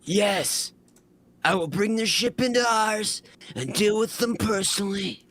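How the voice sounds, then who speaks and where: A man's voice: sits high, animated, a little slow, fairly bright tone, full character voice. A man playing an imperious, power-hungry Sith warlord with a pompous, commanding manner in a fan fiction reading. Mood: smug.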